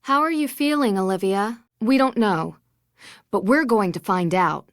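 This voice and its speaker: A woman's voice: mid-range voice, animated, measured pace, no echo, studio quality. A woman playing an inquisitive, observant young detective in a video game. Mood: concerned. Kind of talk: game voice acting, musing observations.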